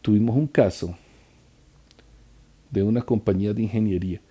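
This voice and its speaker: A man interpreting a conference talk live, in a halting way with restarts and trailing phrases. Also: lowish voice, mellow, husky.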